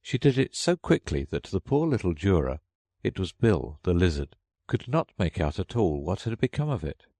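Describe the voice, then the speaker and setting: Deep-voiced man narrating a children's story. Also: very animated, moderate pace, warm tone, dry recording. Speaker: audiobook narrator.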